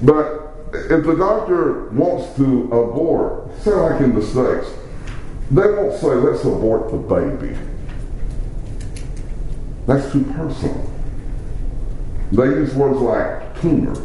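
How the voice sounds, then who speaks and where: A middle-aged man preaching a sermon, slow and emphatic, with dramatic pauses. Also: mid-range voice, big pitch swings, dark tone, slight background noise. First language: English. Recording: very echoey room, faint background ambience.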